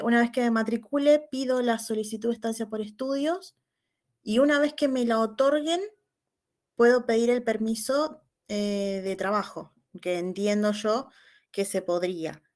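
A woman's voice: a little high, darkish tone. A woman from Argentina with an Argentine accent, calling in to a live chat and politely laying out her question in detail. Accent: Argentine Spanish. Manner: polite, detailed, thinking aloud with "eh". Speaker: caller. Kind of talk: asking a question on a live call.

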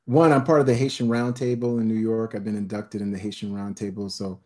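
A man's voice: on the low side, fairly even intonation, a little fast, a little mellow. A middle-aged American man answering an interview question with passion and emphasis, piling up lists of names and events. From United States. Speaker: guest scholar.

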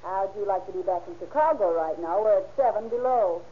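A woman's voice: mid-range voice, fairly quick, slight background noise, natural voice. A woman playing a cheerful, folksy, chatty neighbor in a 1930s radio drama, on an old muffled recording. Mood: friendly, chatty. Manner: folksy, sociable.

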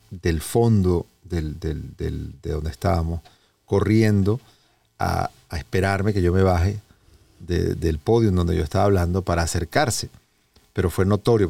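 Venezuelan man, mellow dark timbre, interview host, conversational storytelling, slightly distant from the mic. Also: low voice, vocal fry, dry recording. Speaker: host of a health and wellness interview show. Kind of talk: relaxed conversational introduction and storytelling.

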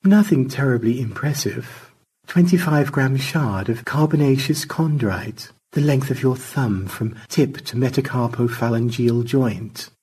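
A man voicing a sweet female AI in a storybook, polite, precise and gently flirtatious. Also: mid-range voice, unhurried, husky, voice pushed up. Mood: matter-of-fact. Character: sweet, charming female AI on a screen, coolly technical.

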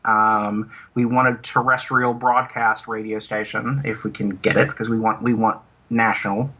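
Bright, forceful man in dull lo-fi sound. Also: on the low side, level tone, moderate pace. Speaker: interview guest, audio series creator. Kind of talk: casual interview talk.

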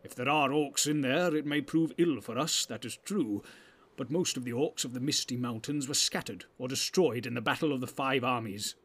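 A man's voice: medium pitch, moderate pace, fairly bright tone, breathy edge. A man playing a grave, commanding old wizard in a fantasy audiobook, speaking in weighty, archaic, oratorical phrases. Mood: stern, measured.

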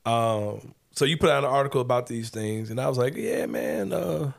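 A man's voice: mid-range voice, moderate pace, creaky. A man, hosting a casual sports talk show in a laid-back, joking, slangy way. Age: 40s. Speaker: podcast host.

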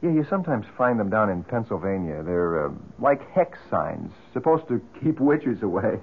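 An actor playing an affable, helpful friend in an old-time radio drama, genial and a little hesitant. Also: male voice, lowish voice, very animated, normal speed, husky, exaggerated voice. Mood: curious, puzzled. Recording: thin, muffled old radio recording.

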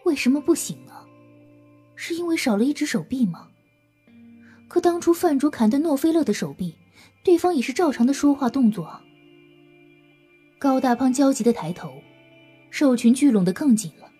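A woman's voice: high voice, lively intonation, moderate pace, long pauses, mellow, soft background music. A woman narrating a scripted audio drama as a storyteller, in a dramatic style.

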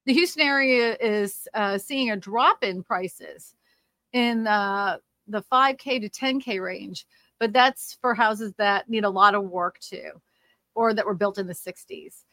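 A woman's voice: mid-range voice, measured pace, pushed and bright, no echo. A woman from Louisiana hosting a casual livestream about the housing market, with a slightly nasal voice and a rambling way of talking full of fillers. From Louisiana, USA. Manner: chatty and rambling, with frequent fillers and restarts. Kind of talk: casual livestream chat reading comments.

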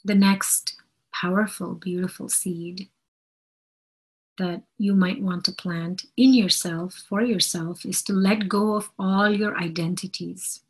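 Woman, spiritual teacher type. Breathy, husky tone, unhurried delivery, earnest and deliberate, leaning on repetition. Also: on the low side, fairly even intonation. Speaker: spiritual teacher giving a talk. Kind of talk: slow inspirational spiritual talk.

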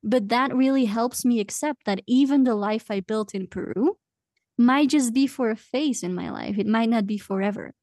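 A woman's voice: fairly high pitch, normal speed, a little mellow, dry recording, clean studio sound. A woman in her late twenties from Belgium, chatting casually as an interview guest and laughing often. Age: late 20s.

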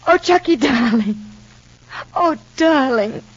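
A woman's voice: sits high, expressive, takes it slowly, no echo. An actress playing an anxious, devoted mother in an old radio soap opera, earnest and brooding. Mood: emotional relief. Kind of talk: 1940s-50s radio soap opera acting. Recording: muffled, dull old radio recording.

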